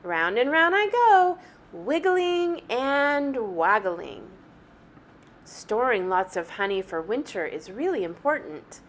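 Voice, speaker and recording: A woman reading a picture book aloud like a teacher, with animated, deliberate phrasing meant for young children. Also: a little high, slight background noise. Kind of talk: expressive children's story read-aloud.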